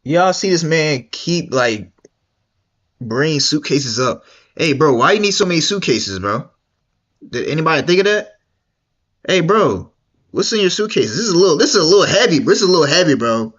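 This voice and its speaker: A man's voice: a little high, very animated, moderate pace, fairly bright tone, slightly rough, muffled recording. A young man streaming, reacting to a video in casual, slangy, repetitive chat. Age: young adult. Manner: slangy and repetitive, drawling and mumbly.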